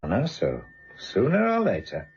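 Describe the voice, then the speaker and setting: An actor playing a gruff, sardonic senior British police inspector, bluntly probing in a radio drama. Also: male voice, medium pitch, huge pitch swings, moderate pace, a little mellow, highs cut off, soft background music. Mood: brisk, commanding. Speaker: police inspector questioning suspects and directing subordinates.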